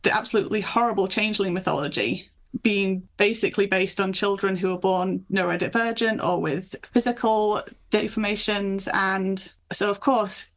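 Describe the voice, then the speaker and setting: A woman with a mid-range voice and a noticeable creak talks as a guest author. She explains things in an enthusiastic, conversational way, as in an interview. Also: mid-range voice, normal speed. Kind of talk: conversational interview chat about folklore.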